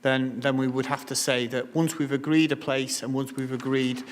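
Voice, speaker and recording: A man explains policy in a measured, careful way, often restarting his sentences. His voice sits in the middle of the male range and carries a long, reverberant ring from the council chamber. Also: mid-range voice, level tone, slight background noise. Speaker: council officer answering questions. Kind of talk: formal meeting explanation.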